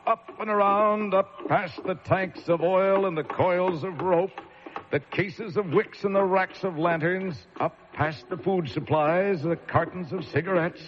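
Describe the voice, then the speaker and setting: An actor playing a haunted, wry lighthouse keeper with an American accent, narrating an old radio thriller in an intense, theatrical way. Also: male voice, mid-range voice, close to an everyday voice. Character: haunted, wry male lighthouse keeper recounting his ordeal. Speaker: narrator and lead character. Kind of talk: dramatic first-person radio thriller narration and acting. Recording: muffled vintage radio drama with music.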